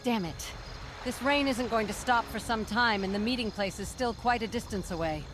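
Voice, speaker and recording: A woman's voice: fairly high pitch, moderate pace, close to an everyday voice. A woman playing an impatient, no-nonsense elf ranger in a fantasy game cutscene. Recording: clear background noise.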